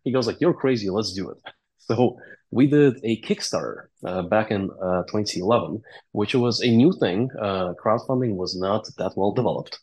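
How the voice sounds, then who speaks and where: Low-voiced man. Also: normal speed, a little mellow, slightly rough. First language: Russian or Latvian. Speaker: interview guest, game company founder and CEO. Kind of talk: conversational interview storytelling.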